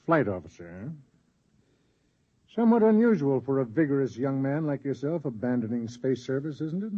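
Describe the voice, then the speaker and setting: An actor playing a suspicious government interrogator in a radio drama, formal, probing and condescending. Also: male voice, mid-range voice, big pitch swings, normal speed, mellow. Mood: coolly needling. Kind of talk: 1950s radio drama acting. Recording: dull lo-fi vintage radio recording.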